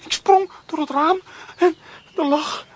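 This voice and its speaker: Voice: extremely high pitch, takes it slowly, a little hiss or hum. An actor voicing a loyal, injured male stray dog in a children's radio play. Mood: pained.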